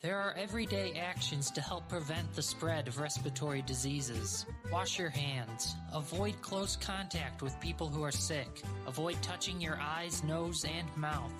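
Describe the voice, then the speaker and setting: Female PSA read, low register, instructional, loud music bed underneath. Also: normal speed, few pauses. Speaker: public service announcer. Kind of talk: scripted instructional announcement.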